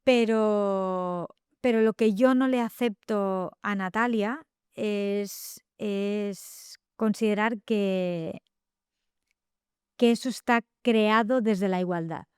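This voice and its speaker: A middle-aged woman with a Catalan accent, a novelist talking reflectively in an interview, often pausing with fillers and tag questions. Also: medium pitch, fairly even intonation, a little mellow, smooth, dry recording, studio quality. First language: Spanish. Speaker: guest novelist. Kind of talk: thoughtful interview talk.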